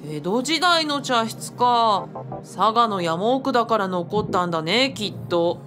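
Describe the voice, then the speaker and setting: A woman's voice: medium pitch, lively intonation, unhurried, lightly acted. A woman acting a put-upon young man in a radio drama, boyish and grumbling but good-natured. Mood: pondering. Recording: faint music behind the voice.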